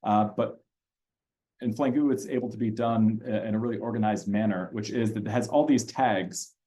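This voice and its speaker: Low-voiced man leading a teaching webinar. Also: normal speed, dark tone. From Austria. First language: German. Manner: conversational, frequent fillers and restarts. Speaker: language teacher presenting a reading platform. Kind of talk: webinar walkthrough with screen sharing.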